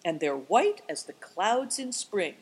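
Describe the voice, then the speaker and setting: A woman voicing a sly, unruffled man in an audiobook story, gently evasive and teasingly polite. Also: mid-range voice, sing-song, slow pace, slightly rough, heavily acted. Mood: cheerfully teasing. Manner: gentle, evasive, mock-inviting. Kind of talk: audiobook character voice.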